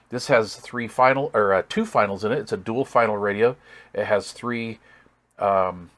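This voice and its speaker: A man's voice: on the low side, fairly bright tone. A man giving a casual hands-on product demonstration as a hobbyist reviewer, thinking aloud with folksy, easygoing enthusiasm. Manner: thinks aloud while working.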